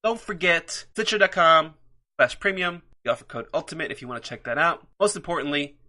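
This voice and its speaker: A man's voice: on the high side, animated, fairly quick, pushed and bright. A man hosting his own podcast, talking enthusiastically and a bit rambly about his picks, with many fillers. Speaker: podcast host. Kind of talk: solo podcast comic book picks.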